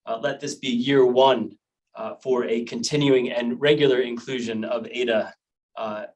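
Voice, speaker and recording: A man of middle pitch chairs an online panel and introduces its speakers in a measured, formal way. His voice comes from some distance away from the microphone over a video call. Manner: measured, formal speech with Māori greetings.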